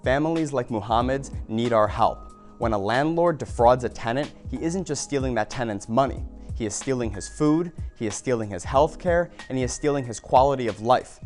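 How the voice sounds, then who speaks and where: A man's voice: medium pitch, expressive, moderate pace, a little mellow, soft background music. A man in his thirties, a nonprofit founder, giving a polished scripted speech to camera with rhythmic lists. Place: office room.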